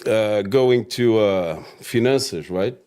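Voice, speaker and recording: Portuguese-Australian man, low voice. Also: takes it slowly, warm tone, clean tone. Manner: rambling, says "right?" and "mate" often. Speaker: real estate guest. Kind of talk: casual interview.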